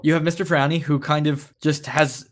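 Higher-voiced man in halting podcast chat. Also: flat delivery. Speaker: podcast co-host.

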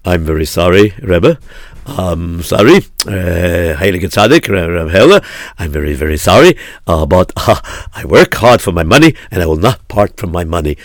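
A man's voice: deep voice, lively intonation, moderate pace, no echo, clean studio sound, voice pushed down. A man playing a wealthy, stubborn miser in a told tale, repeating himself in falsely apologetic or indignant refusals. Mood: firm, apologetic. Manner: repetitive, stammering refusals.

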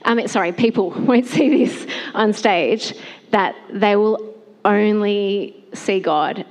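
A woman's voice: medium pitch, slow pace. A woman, a church worship leader, talking on a panel in a casual, heartfelt way, full of fillers and restarts. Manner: rambling, many fillers like "like" and "I don't know". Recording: long hall reverb.

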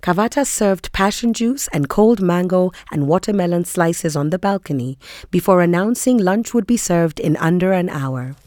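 A woman's voice: lowish voice, lively intonation, moderate pace, a little mellow, dry recording, studio quality, lightly acted. A woman reading scripted narration from a novel.

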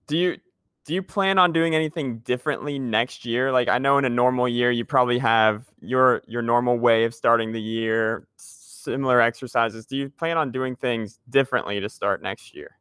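A man's voice: medium pitch, fairly even intonation, moderate pace, fairly bright tone, clean tone, no room sound, studio quality. A young man hosting a casual podcast, agreeing readily and filling his talk with "like" and "man". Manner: agreeable, uses lots of fillers like "like" and "man". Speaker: podcast host, music teacher.

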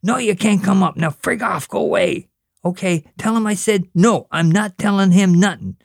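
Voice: moderate pace, creaky, dry recording, clean studio sound, natural voice. A reader voicing an earnest young boy, pleading and questioning as he prays and bosses his little brother around. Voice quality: high, childlike, pleading. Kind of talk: reading fiction aloud.

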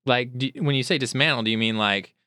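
A young man chats casually as a podcast co-host, hesitant and full of fillers as he plays along. His voice is smooth and clear at a middle pitch, and the sound is dry. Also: a little fast, pushed and bright, no room sound. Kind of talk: casual comedic podcast chat.

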